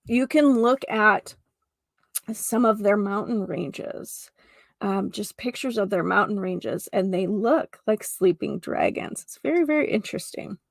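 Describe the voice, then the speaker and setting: A woman's voice: medium pitch, gravelly, a little mellow, no echo. A woman hosting an informal spiritual teaching podcast, explaining earnestly with emphatic repetition, partly reading from notes. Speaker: podcast host. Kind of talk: informal spiritual lesson, part read from notes.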